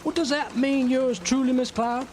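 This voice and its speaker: An actor playing a boozy, gossipy small-town professor with florid, courtly speech in a radio drama. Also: male voice, very high voice, steady tone, normal speed, darkish tone. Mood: courtly, grateful. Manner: florid, mock-formal wording. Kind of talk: theatrical radio drama dialogue. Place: outdoor amphitheater stage. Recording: noisy outdoor live recording.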